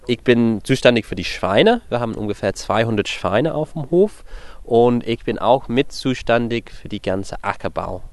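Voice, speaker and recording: A male farmer with an English accent explains things in an informal way. His voice sits at a middle pitch. His phrasing is simple and clearly that of a native English speaker using a second language. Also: animated, moderate pace, a little mellow, no echo. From England. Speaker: farmer in charge of pigs and crops. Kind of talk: interview answers and informal instructions to a group.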